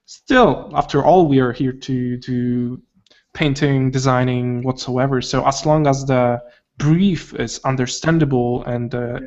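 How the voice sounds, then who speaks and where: A man's voice: medium pitch, steady tone, measured pace, a little mellow, muffled recording. A man co-hosting a casual chat, laid-back and encouraging.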